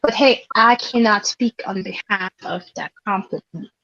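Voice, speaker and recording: A woman's voice: medium pitch, unhurried, pushed and bright, no echo. A young woman from Switzerland, a guest in a casual podcast chat, telling stories in a chatty way and laughing often. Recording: dull lo-fi sound.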